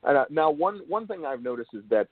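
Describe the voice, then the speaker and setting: A man hosting an interview, asking long, wordy, bookish questions in a halting way. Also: fairly high pitch, very animated. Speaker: interviewer and show host. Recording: thin phone-like call audio.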